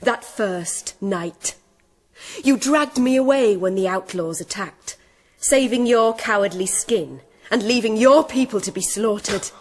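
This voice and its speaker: Woman acting headstrong young lady, grieving, accusing, very slow delivery, radio drama. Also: medium pitch, natural voice. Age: young adult.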